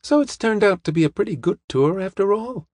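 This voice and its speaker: Man voicing high-pitched, breezy young American woman. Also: expressive, a little fast, a little mellow, no room sound. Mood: eager, puzzled. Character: eager young American woman, playful and curious.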